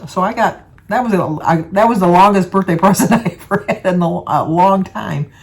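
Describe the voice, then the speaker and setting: A woman's voice: medium pitch, a little fast, vocal fry. Chatty older woman, rambling. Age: 70s. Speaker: vlogger. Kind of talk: casual chat to viewers.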